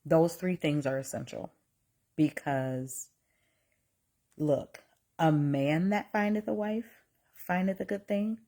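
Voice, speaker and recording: A woman in her forties with a low voice hosts a live talk. She speaks slowly, circling back and repeating herself, and now and then reads out viewer comments. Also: dark tone. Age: 40s. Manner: reads chat aloud, exclaims in mock outrage; slow, repetitive, restarts often. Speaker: live stream host. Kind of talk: casual live talk on relationships and faith.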